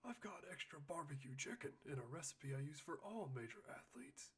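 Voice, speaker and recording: A man's voice: a little high, expressive, brisk, husky. A man voicing a hearty male gymnastics coach in an ASMR roleplay, projected as if from a distance. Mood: friendly, insistent. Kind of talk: ASMR roleplay character acting.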